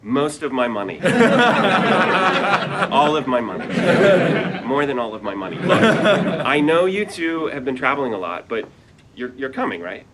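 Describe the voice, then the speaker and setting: A man's voice: medium pitch, big pitch swings, normal speed, long pauses, lightly acted. An actor reading an earnest, romantic man in his thirties who rambles nervously, in a sitcom table read. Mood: rambling. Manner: nervous, rambling, self-mocking. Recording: hissy table-read recording.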